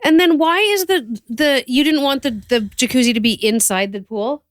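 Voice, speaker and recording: A woman co-hosting a podcast, talking casually and candidly with a friend, quick to react and give her opinion. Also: high voice, no room sound, studio quality. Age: adult, parent. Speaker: podcast co-host.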